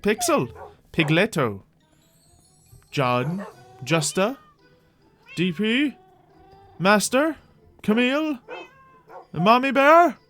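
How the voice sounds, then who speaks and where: A man's voice: high voice, very animated, extremely slow, long pauses, fairly bright tone, soft background music. A man giving casual, rambling live gameplay commentary as a streamer, playfully talking to himself and to the animals in the game.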